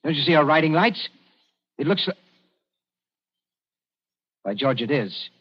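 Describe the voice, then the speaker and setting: An actor playing a shrewd, composed young colonial official in a 1940s radio drama, speaking in a measured, dryly ironic way. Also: male voice, on the high side, expressive, slightly rough. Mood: alarmed. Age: young adult. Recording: dull, muffled 1940s radio recording.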